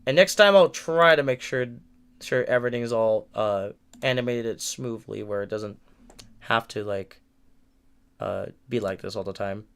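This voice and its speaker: A man's voice: fairly low pitch, measured pace, gravelly, fairly bright tone, smooth. A nineteen-year-old man giving a rambling livestream update from a room in his family home, venting with frequent restarts and repeated words. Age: late teens. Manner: frustrated, venting.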